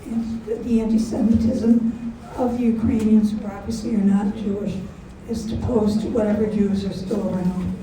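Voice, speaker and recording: A woman's voice: medium pitch, steady tone, moderate pace, hardly any pauses, warm tone. A woman in an audience putting a question to a speaker from the floor. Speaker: audience member asking a question. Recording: very echoey room, distant mic, faint noise.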